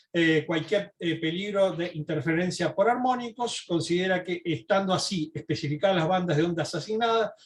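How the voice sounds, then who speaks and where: A man lecturing informally from notes, explaining as he goes and adding asides and rhetorical questions. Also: a little high.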